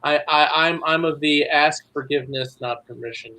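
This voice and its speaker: A man's voice: fairly high pitch, moderate pace, sharp tone, low-quality recording. A man speaking as a writer guest in casual interview chat, with a wry, joking manner.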